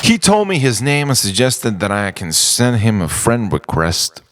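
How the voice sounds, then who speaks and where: A man from Europe performing a confessional spoken-word monologue in a theatrical, deliberate way, with pauses and repetition. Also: on the low side, wildly swooping pitch, background noise. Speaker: writer-performer reciting his own monologue.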